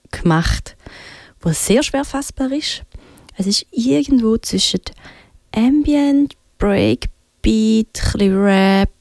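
A woman with a Swiss German accent presenting music on the radio, unscripted, in halting short phrases with pauses. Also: mid-range voice, warm tone, no room sound. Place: radio studio.

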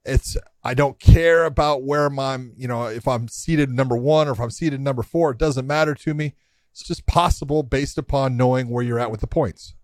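A man's voice: medium pitch, normal speed, no echo. A man co-hosting a casual sports chat, blunt and stammering at the start of his points. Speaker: podcast co-host, fighter.

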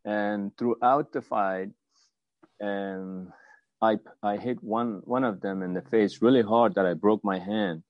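A man in his fifties from Afghanistan telling his own story as an interview guest, with a halting, hesitant delivery. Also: lowish voice, steady tone, no room sound, muffled recording. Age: 50s. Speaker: guest, martial arts teacher.

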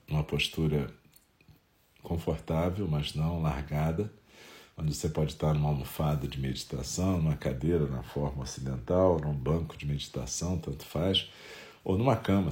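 A Brazilian man with a nasal, stuffy voice from a head cold, calmly leading a Zen meditation talk. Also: very deep voice. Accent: Brazilian Portuguese.

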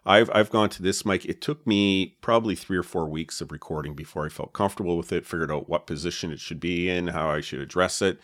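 Man, very deep low voice, relaxed chatty explainer, dry close mic. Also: a little fast, hardly any pauses, no room sound. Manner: relaxed, explanatory, many fillers. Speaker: podcast co-host. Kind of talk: casual chat about podcast gear. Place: home podcast setup. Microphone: dynamic podcast mic with pop filter and foam cover.

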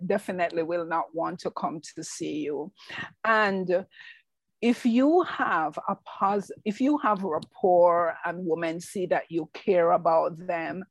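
A middle-aged woman with a strong Jamaican accent speaks as a clinician in an interview. Her voice sits fairly low and she talks in a calm, measured, reassuring way. The recording is dry, with little room sound. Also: dry recording. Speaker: clinician and researcher. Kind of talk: interview answer.

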